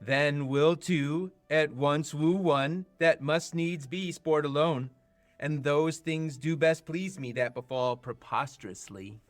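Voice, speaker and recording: A mischievous, eager fairy servant acted by a male in his late teens in a Shakespeare radio drama. The voice sits a little high and delivers verse playfully. It is dry, with faint music underneath. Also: slow pace, no room sound. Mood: gleeful, eager. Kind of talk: amateur 1940s-style radio play Shakespeare.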